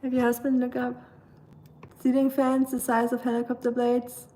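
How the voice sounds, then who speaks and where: A woman's voice: a little high, completely flat delivery, moderate pace, warm tone, slight background noise. A young woman with a German accent, chatting casually on a livestream while cooking in her home kitchen, with lots of ums. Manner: chatty, rambling, many ums, reads chat aloud. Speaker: livestream host. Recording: distant mic with kitchen clatter. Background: dishes, pots and pans clinking.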